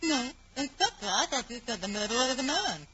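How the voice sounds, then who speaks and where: A woman's voice: sits high, sing-song, brisk. A woman playing a protective, wounded suspect in an old-time radio crime drama. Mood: guarded. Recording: dull, noisy vintage radio recording.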